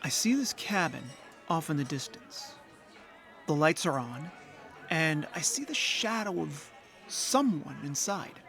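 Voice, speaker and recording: A man's voice: fairly high pitch, lively intonation, unhurried, a bit bright, soft background music. An actor playing an ordinary, lonely young man in an audio drama, earnest and a little hesitant. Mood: wistful, earnest. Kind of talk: audio drama dialogue and first-person narration.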